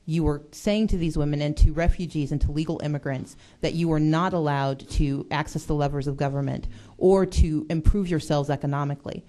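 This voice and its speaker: Woman making a deliberate committee speech. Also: low voice, fairly even intonation, warm tone. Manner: earnest, deliberate, persuasive. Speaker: state legislator. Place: legislative committee room.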